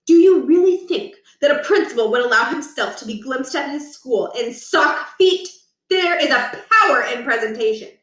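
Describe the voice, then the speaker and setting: A woman's voice: high-pitched, expressive, normal speed, fairly bright tone, voice pushed up. A woman voicing a pompous, stern male school principal in a storybook read-aloud, commanding and self-important. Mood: haughty, indignant. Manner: pompous, clipped, commanding, wordy. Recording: roomy echo, distant from the mic.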